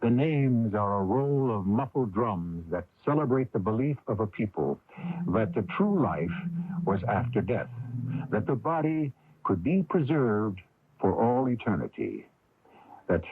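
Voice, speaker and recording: Animated, theatrical male radio narrator. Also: on the low side, a little slow, slightly rough. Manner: theatrical, portentous. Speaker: host and narrator. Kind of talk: dramatic scripted radio narration. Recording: tinny radio broadcast with music.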